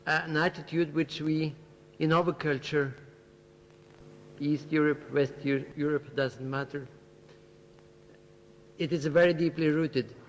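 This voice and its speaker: A man's voice: on the high side, steady tone, slow, pauses often. An earnest male panelist with a slightly raised voice presses his point with emphatic repetition. His words ring out with a long hall reverb, and a faint hum runs underneath. The recording comes from an old festival debate. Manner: earnest, emphatic, repeats key phrases. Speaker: panelist, biologist. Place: lecture hall at a student festival.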